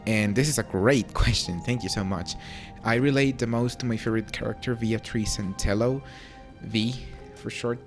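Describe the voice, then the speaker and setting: A young man from Mexico in his late teens with a Mexican accent softened by British touches, chatting casually and answering viewer questions with a relaxed, self-deprecating manner. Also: fairly low pitch, normal speed. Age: young adult. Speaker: YouTuber. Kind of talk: casual Q&A to camera. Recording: faint music underneath.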